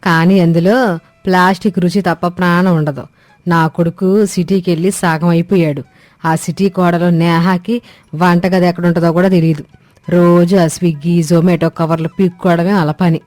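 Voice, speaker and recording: Woman playing a sharp-tongued old mother-in-law. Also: on the low side, level tone, normal speed, no room sound, lightly acted. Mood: grumbling. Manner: scolding, rustic, blunt. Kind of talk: scripted comic family story acting. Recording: dry studio, music behind.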